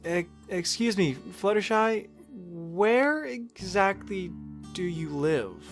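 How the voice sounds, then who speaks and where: A man's voice: sits high, lively intonation, slow pace, soft background music, full character voice. A man voicing an anxious young stallion. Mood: earnest. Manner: anxious, earnest, stammering. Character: young stallion, worried protective older brother.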